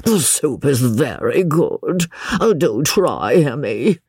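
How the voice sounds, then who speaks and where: Woman voicing a pompous middle-aged gentleman, coaxing, blustering, breathy, dry sound. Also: a bass voice, lively intonation, slow pace, dry recording, full character voice.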